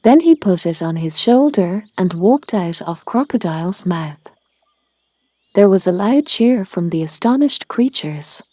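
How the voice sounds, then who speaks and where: A woman's voice: lowish voice, animated, measured pace, warm tone. A woman narrating a children's storybook as a read-aloud. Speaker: storybook reader.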